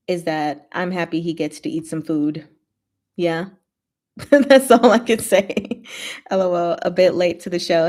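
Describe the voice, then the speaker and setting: A woman's voice: fairly low pitch, a little mellow. A woman hosting a casual livestream, playful and informal, chatting and reading stories aloud. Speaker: host of a livestream.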